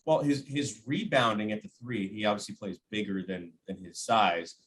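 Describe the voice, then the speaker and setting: A man's voice: lowish voice, fairly even intonation, normal speed, a bit bright, a little hiss or hum. A man talking basketball as a radio announcer guest, conversational and emphatic, repeating phrases for stress and voicing imagined quotes. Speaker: sports radio play-by-play announcer, podcast guest. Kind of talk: animated basketball analysis in casual conversation.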